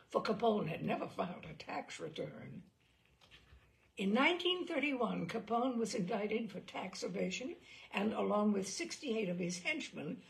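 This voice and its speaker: A woman reading a scripted history narration aloud as a storyteller, with measured, deliberate phrasing. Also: fairly high pitch, creaky, poor audio. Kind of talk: scripted narration of true crime history, with occasional asides.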